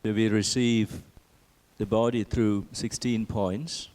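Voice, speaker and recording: A man teaching a guided meditation, speaking in a gentle, measured manner with long pauses between phrases. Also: lowish voice, little pitch movement.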